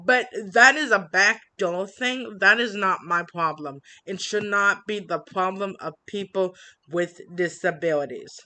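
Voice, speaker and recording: A woman vlogging an upset complaint, halting with many fillers and restarts, reading from written notes. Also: medium pitch, sharp tone. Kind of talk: personal complaint vlog.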